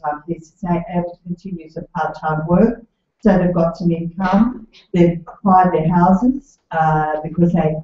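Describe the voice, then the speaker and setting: An Australian woman hosting a public forum, speaking in fluent, appreciative, rounded sentences. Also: fairly low pitch. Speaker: forum host. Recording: echoey hall, distant lo-fi mic.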